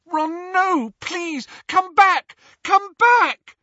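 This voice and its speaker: A man voicing an earnest, distraught teenage girl witch who pleads in a high, tearful voice. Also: very animated, unhurried, pushed and bright, husky, dry recording, highs cut off, heavily acted. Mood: sobbing.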